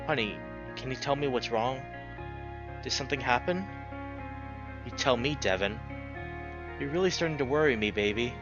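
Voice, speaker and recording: A man's voice: medium pitch, moderate pace, long pauses, highs cut off, over music, close to an everyday voice. Man voicing a strained, pleading big bear boyfriend. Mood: careful, worried. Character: big male bear, anxious, caring boyfriend.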